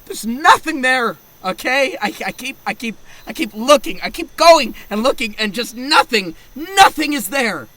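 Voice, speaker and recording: A man's voice: very high-pitched, very animated, a little slow, few pauses, sharp tone, a little hiss or hum, exaggerated voice. A man acting in an audio drama as a defensive, floundering partner at his wits' end, stammering and repeating himself. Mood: frantic. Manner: stammering, repeating words, swearing.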